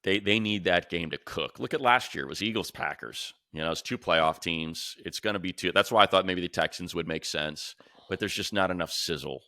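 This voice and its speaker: A man's voice: sits low, fairly quick, bright, forceful tone, no room sound, clean studio sound. An American man co-hosting a sports podcast, giving emphatic, slangy opinions in a casual way. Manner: emphatic, slangy, repeats phrases. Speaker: sports podcast co-host, announcer.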